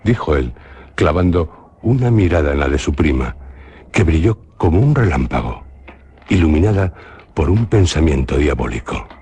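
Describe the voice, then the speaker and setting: Very deep-voiced male narrator. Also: very animated, mellow, husky. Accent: Spain. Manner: measured, solemn storytelling. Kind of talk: scripted radio drama narration.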